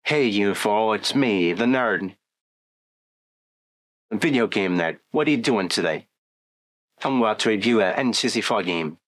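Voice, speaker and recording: A man's voice: on the low side, animated, measured pace, long pauses, fairly bright tone, no room sound, pitched above the performer's own voice. A man voicing a chatty, nerdy video game reviewer.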